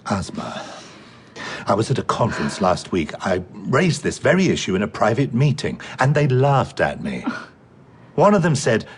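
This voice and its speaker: A man acting in a radio drama as a guarded professor who runs a research centre, reasoning with measured authority. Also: on the low side, very animated, a little hiss or hum. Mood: frustrated.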